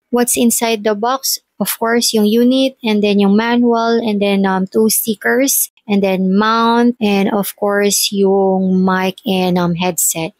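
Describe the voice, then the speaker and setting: A woman's voice: mid-range voice, dry recording. A young Filipino woman chatting casually through a home unboxing vlog, mixing Tagalog and English. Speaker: motovlogger. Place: indoors at home.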